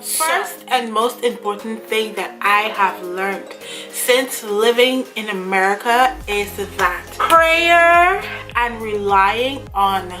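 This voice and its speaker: A woman's voice: a little high, expressive, takes it slowly. A young woman from Guyana, talking earnestly and chattily to camera while reading from her notes. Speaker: vlogger. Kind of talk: casual talk to camera. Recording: music playing under voice. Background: background music.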